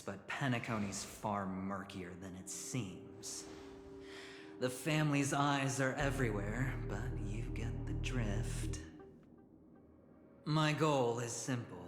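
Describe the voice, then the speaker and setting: An actor playing a smooth, scheming young gambler in a video game, suave and theatrical, with teasing, drawn-out phrasing. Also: male voice, mid-range voice. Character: smooth, scheming young male high-stakes gambler and corporate negotiator. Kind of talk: video game cutscene voice acting. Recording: roomy reverberant sound under loud music.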